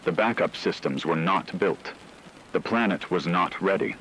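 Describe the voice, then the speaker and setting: A man playing a grave, formal emergency radio newsreader, delivering scripted catastrophe reports in a measured, solemn manner. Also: deep voice, a bit bright, a little hiss or hum, poor audio. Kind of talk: scripted dramatic news narration.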